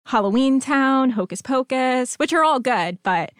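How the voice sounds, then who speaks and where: A woman's voice: sits high, expressive, unhurried, smooth, dry recording, clean studio sound. A woman co-hosting a podcast with casual, playful, enthusiastic banter. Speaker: podcast co-host.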